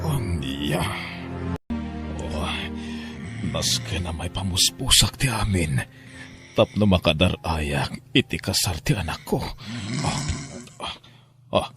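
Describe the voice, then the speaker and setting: A man's voice: sits low, very animated, slow pace, long pauses, music in the background, heavily acted. An actor playing an earnest, long-suffering middle-aged husband and father in an Ilocano drama, with an Ilocano accent. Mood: frustrated, insistent. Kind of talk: Ilocano radio-style drama acting.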